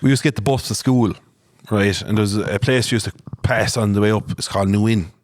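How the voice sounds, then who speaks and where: A man's voice: fairly low pitch, fairly even intonation, brisk, no room sound, clean studio sound. A man in his thirties with a Munster Irish accent, a guest telling a laughing, rambling story and swearing freely. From Ireland, where Cork, Limerick and Tipperary meet. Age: 30s. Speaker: guest, farmer. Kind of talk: casual chat, storytelling.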